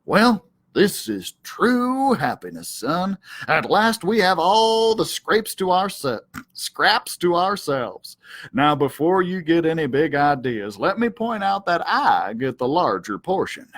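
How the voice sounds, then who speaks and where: A man's voice: fairly high pitch, sing-song, takes it slowly, a bit bright, pitched above the performer's own voice, heavily acted. A man voicing a bossy, self-important male dog who leads in a children's storybook, with a pompous, commanding manner. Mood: smug, bossy.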